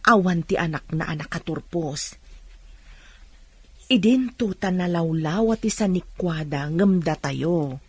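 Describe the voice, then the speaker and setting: A woman's voice: on the low side, unhurried, pauses often, a little mellow, slightly rough, no echo. A woman acting as a patient, encouraging mother of a poor family in an Ilocano radio drama.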